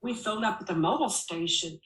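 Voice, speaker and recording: A woman's voice: medium pitch, brisk, fairly bright tone, natural voice. Woman acting a nervous woman in trouble, echoey. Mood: nervously polite. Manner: whining, pleading. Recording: roomy, echoing voice recording.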